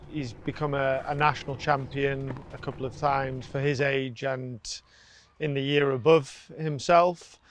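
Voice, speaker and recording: A man's voice: mid-range voice, slight background noise. A man speaking in an interview as a sports coach. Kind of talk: interview talk.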